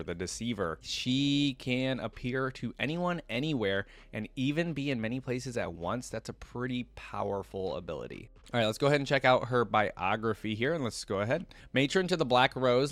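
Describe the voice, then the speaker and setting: Low-voiced man reading and reacting. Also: dry recording. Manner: thinks aloud between read passages, with halting restarts. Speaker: reaction channel host. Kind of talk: casual reaction commentary reading lore aloud.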